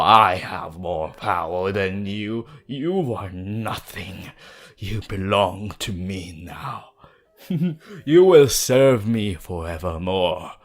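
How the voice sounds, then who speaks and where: Possessive male vampire, ASMR roleplay: deep, breathy, sinister, archaic and theatrical, extremely unhurried delivery. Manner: theatrical, slow, menacing, archaic phrasing.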